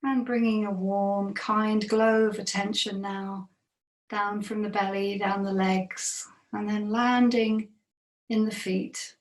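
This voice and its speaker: A woman meditation teacher leading a guided body scan in a gentle, unhurried manner with long pauses. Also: medium pitch, level tone. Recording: roomy, echoing sound.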